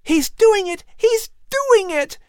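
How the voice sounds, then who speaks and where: A man voicing an eager, gentle young boy with earnest wonder in a storybook. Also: very high-pitched, animated, normal speed, dry recording, studio quality, voice pushed up. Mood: thrilled.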